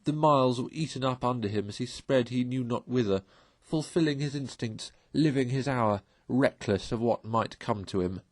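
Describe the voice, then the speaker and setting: A man tells a story in expressive read-aloud narration. His voice sits at a medium pitch, and the recording is dry and close, with very little room sound. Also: moderate pace, hardly any pauses, no room sound. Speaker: storyteller reading a novel aloud.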